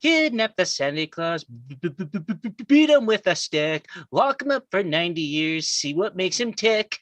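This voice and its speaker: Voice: medium pitch, very animated, normal speed, a bit bright, no echo. An adult performer plays a mischievous child henchman in a scripted table read. The kid is bossy and cruel, stumbling heavily over first sounds, in a dry, close recording. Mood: gleeful. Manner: heavy stutter on first sounds. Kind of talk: live amateur table read of a film script.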